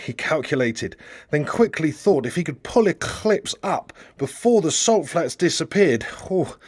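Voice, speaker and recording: A male audiobook narrator delivers scripted fiction with dramatic expression. His medium-pitched voice moves widely up and down, and the sound is dry, free of room echo. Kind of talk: scripted narration of a satirical novel.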